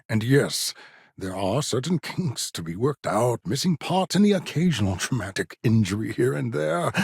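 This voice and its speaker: A man's voice: mid-range voice, gravelly, husky, no echo, studio quality, full character voice. An actor playing an eccentric, condescending but eager male scientist in a space video game, speaking in a fussy, wry, pedantic way. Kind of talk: video game character dialogue.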